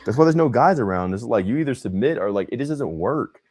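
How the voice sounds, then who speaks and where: Young man, host, casual chat, slangy, animated, pitch jumping around. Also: fast. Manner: animated, slangy, says bro and man a lot.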